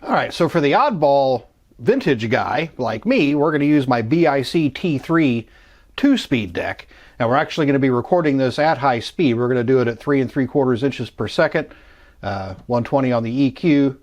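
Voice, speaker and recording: A man's voice: mid-range voice, animated, moderate pace, darkish tone. A man hosting a casual hands-on gear demo, chatting informally as he talks through each step. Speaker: host of a vintage electronics channel.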